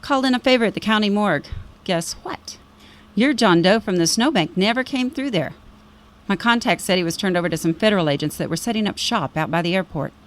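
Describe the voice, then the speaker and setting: An actress performs a prying woman of radio drama in a medium-pitched voice. She is sly and insinuating, needling her listeners as someone who trades in secrets. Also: expressive, a little fast, lightly acted. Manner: teasing, insinuating.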